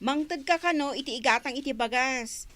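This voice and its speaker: High, nagging Ilocano housewoman. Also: fairly quick, fairly bright tone, no echo. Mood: nagging, reproachful. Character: nagging, worried woman running a poor household. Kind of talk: radio drama acting.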